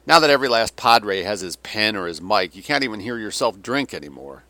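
A bitter, world-weary old Russian general, acted by a male audiobook reader, ranting and sneering with theatrical scorn. The voice is fairly low and very bright, with a dry, close sound. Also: expressive, fairly quick, no room sound. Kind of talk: dramatic audiobook character reading.

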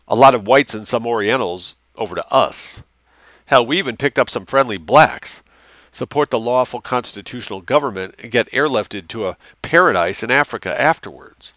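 A man's voice: medium pitch, normal speed, a bit bright, no echo, close to an everyday voice. A man voicing a blunt, cynical officer giving a briefing in a war novel, coarse and slangy. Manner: coarse, slangy, matter-of-fact.

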